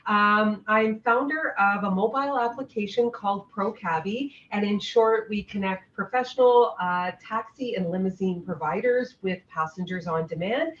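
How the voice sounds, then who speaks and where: A woman's voice: medium pitch, moderate pace, few pauses, slight background noise. A woman from Ottawa, Canada, a business founder, introducing herself politely on a video call. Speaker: app founder. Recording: distant, dull, roomy call audio.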